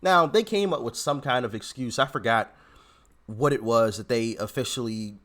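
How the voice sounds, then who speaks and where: A man's voice: medium pitch, moderate pace, fairly bright tone. A man hosting a reaction video, commenting casually and animatedly, with exclamations and restarts.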